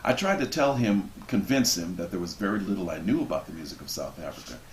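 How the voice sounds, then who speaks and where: A man reading a book passage aloud expressively, with measured, deliberate phrasing and dramatic pauses. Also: deep voice, lively intonation. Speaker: reader of a book introduction. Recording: roomy echo with background noise.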